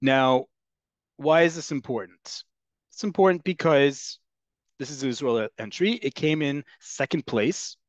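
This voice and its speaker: A man's voice: medium pitch, measured pace, dry recording. Earnest man teaching on a call. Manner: earnest explaining with frequent ums. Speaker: Hebrew teacher. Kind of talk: online class lecture. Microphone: video call.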